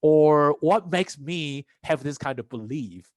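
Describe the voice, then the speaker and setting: A man's voice: a little high, slow. A young man from Taiwan, an international student, speaking reflectively in a casual chat with frequent restarts. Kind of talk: casual conversation.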